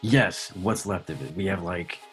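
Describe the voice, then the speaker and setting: A man hosting a casual interview, friendly and quick to affirm his guest. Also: deep voice, fairly bright tone, faint music behind. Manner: friendly, with frequent backchannel affirmations. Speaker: interview host.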